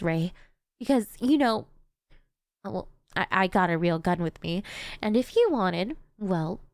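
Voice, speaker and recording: A woman's voice: fairly high pitch, no echo, clean studio sound, full character voice. A woman playing an obsessive, doting female supervillain in an ASMR roleplay, addressing the listener in a theatrical, coaxing, intimate way. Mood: gloating, menacing, then tender, coaxing. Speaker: voice actress.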